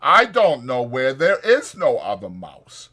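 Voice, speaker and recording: A man voicing a big, simple-minded, meek farmhand with a slow, childish, pleading rural way of talking in an audiobook. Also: medium pitch, wildly swooping pitch, sharp tone, pitched above the performer's own voice, full character voice. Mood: sad, apologetic.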